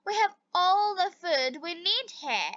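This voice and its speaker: A woman's voice: extremely high pitch, big pitch swings, takes it slowly, a bit bright, no echo, highs cut off, voice pushed up, full character voice. A woman voicing a carefree, overconfident fish in a children's storybook, breezy and self-assured. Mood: carefree, boastful. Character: carefree, overconfident red fish, boastfully unworried.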